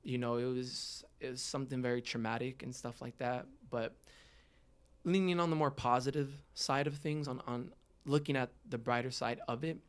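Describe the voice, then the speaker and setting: A man's voice: medium pitch, normal speed, clear, resonant tone. Earnest young man, candid interview talk. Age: 20s. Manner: earnest, heartfelt. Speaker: podcast guest, rapper.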